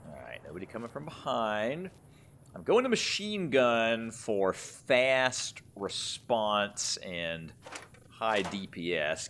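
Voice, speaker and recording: A man's voice: deep voice, fairly bright tone, a little hiss or hum. A man giving casual, rambling gameplay commentary, thinking aloud in a conversational way as he plays. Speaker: gamer, let's play host.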